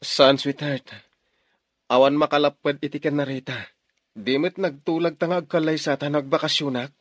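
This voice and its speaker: An actor with a Filipino accent playing a devoted, determined young lover in a radio drama, speaking earnestly. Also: male voice, on the high side, flat delivery, normal speed, no echo, studio quality, lightly acted. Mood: reassuring.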